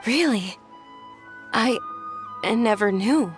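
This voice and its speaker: A woman playing a gentle, polite young lady of a noble family in a video game. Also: fairly high pitch, lively intonation, slow pace. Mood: surprised. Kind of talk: video game character acting. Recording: music behind the voice.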